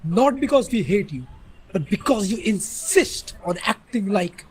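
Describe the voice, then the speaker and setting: A man's voice: sits high, very animated, unhurried, a little mellow, exaggerated voice. A man with an Indian accent playing a harsh, contemptuous disciplinarian father in an amateur radio play. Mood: angry, contemptuous. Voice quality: rough, husky.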